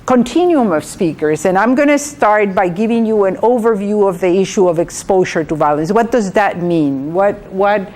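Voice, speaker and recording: A woman with a Guatemalan accent giving a training talk as a guest speaker, in a halting way with repeated words. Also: mid-range voice, expressive, a little mellow. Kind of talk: training presentation to an audience. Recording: clearly noisy live room recording.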